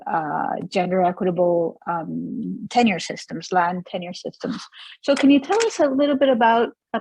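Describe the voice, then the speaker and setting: A woman's voice: medium pitch, a little mellow, dry recording, low-quality recording. A woman from Peru moderating a virtual panel discussion, hesitant and searching for words.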